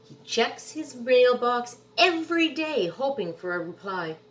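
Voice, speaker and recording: Animated woman reading a picture book, unhurried. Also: on the high side, low-quality recording. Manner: animated, drawn-out words for emphasis. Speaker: storybook reader. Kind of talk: expressive children's picture book read-aloud.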